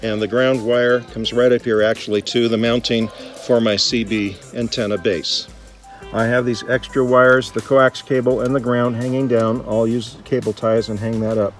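A man's voice: mid-range voice, little pitch movement, moderate pace. A middle-aged American man gives a casual, hands-on explanation with an ordinary mid-range voice. He thinks aloud in a chatty way, recorded on a phone held close, with some music around him. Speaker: vlogger demonstrating Jeep radio gear. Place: outdoors by a vehicle and inside a truck cab.

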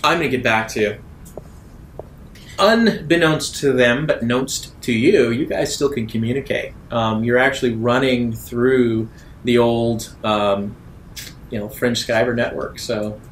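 A man acts as game master for a tabletop roleplaying session, speaking at a middling pitch. The room echoes around his voice, with a low rumbling noise underneath. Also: unhurried. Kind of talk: tabletop roleplay narration and improvised dialogue.

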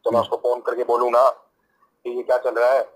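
Heard through a phone speaker, a young North Indian man argues heatedly. His medium-pitched voice stays flat in intonation while he scolds in a confrontational way. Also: fast talker. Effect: phone call on speaker. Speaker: prank call recipient.

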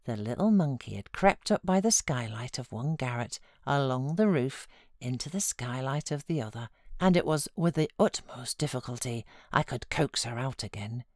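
A woman's voice: rumbling low, animated, normal speed, a little mellow, no room sound, studio quality. A woman with a British accent narrating a classic novel as a scripted audiobook.

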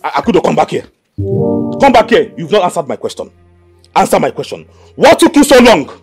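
An actor playing a jealous, overbearing husband in a screen drama, interrogating and giving orders. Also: male voice, medium pitch, huge pitch swings, normal speed, a little mellow, faint music behind. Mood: suspicious, demanding. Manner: interrogating, commanding.

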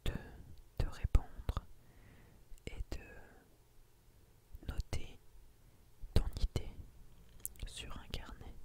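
A woman speaks in a breathy whisper, very close to the mic, in the style of an ASMR creator. Her delivery is slow and hushed, broken into short phrases addressed to her viewers. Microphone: close microphone on a boom arm.

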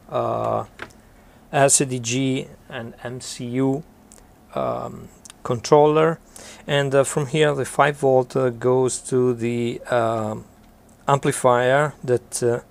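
Male maker, middle-aged, for technical explainer: slow, halting delivery, plenty of creak. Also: medium pitch. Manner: halting, deliberate phrasing. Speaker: maker presenting an electronics project. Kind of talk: technical explanation of a homemade device.

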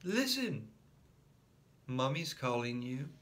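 A man voicing an older brother playing a dress-up fight, shouting challenges and brushing off his little brother. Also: medium pitch, very animated, slow pace, pitched above the performer's own voice. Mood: impatient. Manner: shouting, impatient.

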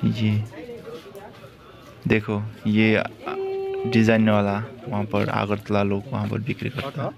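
Low, monotone man vlogging. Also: slow, creaky, pauses often, dull audio, music in the background. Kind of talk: casual walk-through vlog commentary. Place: outdoor street market, walking. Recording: loud busy outdoor crowd noise.

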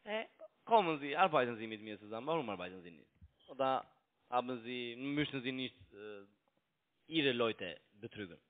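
A man's voice: medium pitch, lively intonation, normal speed. A man with a foreign accent, not a native German speaker, arguing defensively and repetitively as a phone caller, in broken grammar. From outside Germany. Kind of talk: argumentative phone conversation. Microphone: telephone. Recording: tinny narrowband phone line.

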